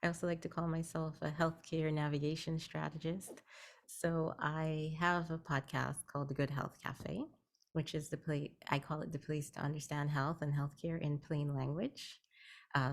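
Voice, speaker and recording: A woman's voice: sits low, darkish tone. A woman from Guyana, a health educator, explaining her business in a plain-spoken way as a guest on a video call. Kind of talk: virtual networking meeting talk.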